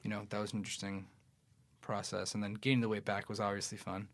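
A man's voice: on the low side, little pitch movement, fast, no echo. Loose, profane young man on a podcast. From New York City. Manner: loose and profane, many fillers. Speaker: podcast guest, actor. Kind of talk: casual podcast chat.

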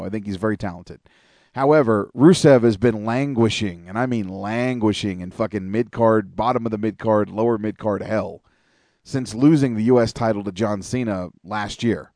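A man's voice: lowish voice, darkish tone, no echo, studio quality. A man co-hosting a casual wrestling podcast, talking in a rambling, opinionated way and swearing a lot. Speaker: podcast co-host.

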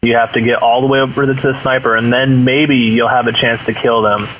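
A man's voice: medium pitch, flat delivery, fairly quick, fairly bright tone, dull audio, slight background noise. A man talking casually as a guest on a gaming podcast.

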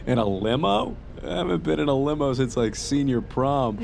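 An actor playing a nervous, eager male client in an immersive audio drama, halting and casual, easily flustered. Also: medium pitch, expressive, creaky, a little mellow, slight background noise. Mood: impressed, playful.

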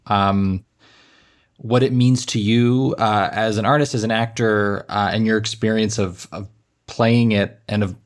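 A man with a low, gravelly voice hosts an interview. He is chatty and often restarts his phrases as he speaks. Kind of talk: interview and podcast chat.

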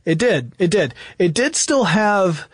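A man's voice: high-pitched, sing-song, measured pace, husky, dry recording, studio quality. A man hosting a podcast, explaining technology history in conversation with enthusiasm and hypothetical examples. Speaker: podcast host.

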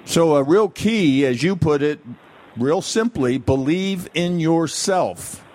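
A male radio interviewer with a slightly high voice who leads his guest with summing-up questions. The sound is a bit dull and lo-fi. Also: expressive, slow pace, darkish tone.

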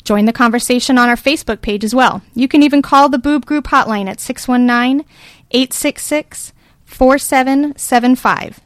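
A woman hosts a podcast discussion in a studio. She sounds friendly and encouraging, with a somewhat high voice and a close, dry sound. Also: normal speed, no echo. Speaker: podcast host. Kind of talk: casual panel discussion. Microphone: studio microphone.

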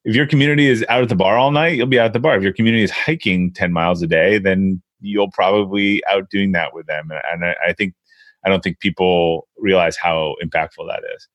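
A man hosting a conversational interview, somewhat halting as he rephrases his thoughts while he goes. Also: sits low, steady tone, fairly bright tone. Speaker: podcast interviewer, host.

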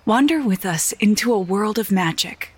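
A woman's voice: mid-range voice, expressive, normal speed, husky. A woman narrating a scripted trailer for a storybook fantasy audio drama. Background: faint music.

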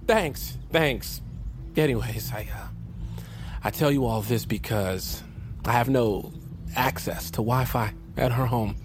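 An actor playing a shifty slacker who fibs in a hesitant, rambling, falsely sincere way, in a comedy audio drama. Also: male voice, medium pitch, takes it slowly, noisy background, natural voice. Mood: fake sincerity. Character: shifty, fast-fibbing young slacker dodging debt collector.

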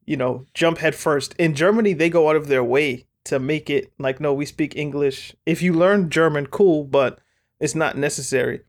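A man with a somewhat high voice muses on a casual football podcast. He rambles thoughtfully and leans on fillers as he works out his points. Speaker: podcast co-host.

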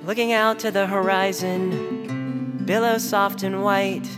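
A woman performing as a tender, wistful singer in a gentle sentimental song. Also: mid-range voice, steady tone, slow pace, natural voice. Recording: guitar music behind the voice.

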